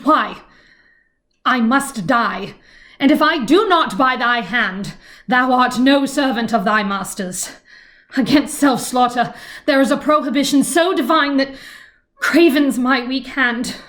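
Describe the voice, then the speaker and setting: A woman playing a despairing young woman in a Shakespearean tragic monologue, halting and dramatic. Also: sits high, a bit bright. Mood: anguished resolve.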